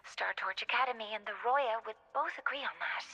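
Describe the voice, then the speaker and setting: A woman playing a studious, formal researcher in a video game, precise and deliberate. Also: a little high, sharp tone. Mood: thoughtful.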